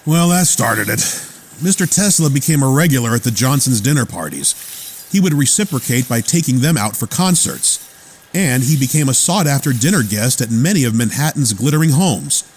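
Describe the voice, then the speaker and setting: Animated, loyal lab assistant. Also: male voice, mid-range voice, moderate pace, a little hiss or hum. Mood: wry. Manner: earnest, plain storytelling. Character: loyal, watchful male lab assistant recounting his boss's life. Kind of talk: radio theater narration. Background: music.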